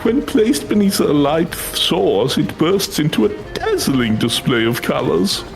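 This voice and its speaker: A man voicing an excitable, grandiose scholar in a fantasy game, with formal, theatrical phrasing. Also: on the high side, measured pace, creaky, soft background music, full character voice. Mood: excited, grandiose.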